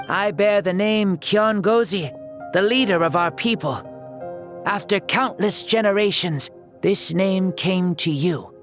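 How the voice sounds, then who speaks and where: A man playing a noble, ancient warrior leader in a video game, speaking in formal, measured oratory with a youthful spirit. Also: high-pitched. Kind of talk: dramatic video game voice acting. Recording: music behind the voice.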